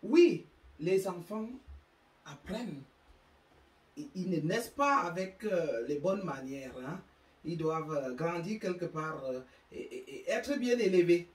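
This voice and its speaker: A woman's voice: on the low side, animated, echoey room, recorded from a distance, poor audio. A woman with an African French accent hosting her own channel, delivering an emphatic, moralizing monologue. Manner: slow, emphatic, preachy. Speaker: YouTube channel host.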